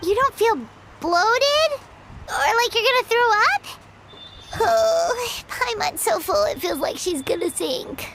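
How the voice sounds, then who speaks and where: A tiny fairy sidekick from a video game, squeaky and extremely high. She drags her words out with big swoops, childlike, whiny and theatrical. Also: big pitch swings, takes it slowly, very noisy, full character voice. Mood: worried, queasy. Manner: speaks of herself in third person, exaggerated. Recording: loud music behind. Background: game music.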